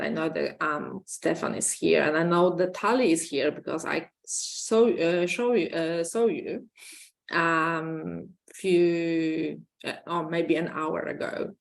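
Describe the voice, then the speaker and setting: A woman, hoarse from illness, giving an online conference presentation over a video call in halting English full of fillers. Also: lowish voice. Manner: halting, many fillers and restarts. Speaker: conference speaker.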